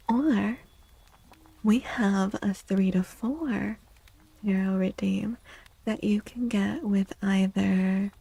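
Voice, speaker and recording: Soft, breathy woman with a creaky murmur. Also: medium pitch, no echo, poor audio. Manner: gentle, slow, drifting into whispers. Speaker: live streamer and tarot reader. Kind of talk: soft-spoken ASMR livestream chat.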